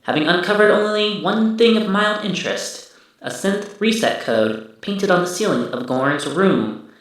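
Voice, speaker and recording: A man voicing a machine memory archive, reciting a recap in a formal, deliberate, dramatic style. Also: high voice, animated, fairly bright tone, heavily acted. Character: male machine memory archive, formally recounting past adventures. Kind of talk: scripted recap narration. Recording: distant voice in heavy echo.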